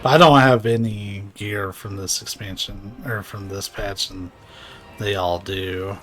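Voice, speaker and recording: A man's voice: fairly low pitch, steady tone, unhurried, fairly bright tone. A man giving casual, informal live commentary as he streams a video game, reacting off the cuff. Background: game music.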